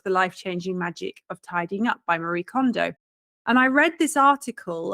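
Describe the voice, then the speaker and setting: A woman's voice: medium pitch, a bit bright, dry recording. Chatty British woman telling her story. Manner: chatty, self-deprecating storytelling. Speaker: guest, professional organizer. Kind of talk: conversational interview.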